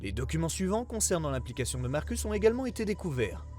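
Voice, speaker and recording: A man reading a scripted investigative report as narrator, in a formal, measured way. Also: a little high, sing-song, a little mellow, faint music behind.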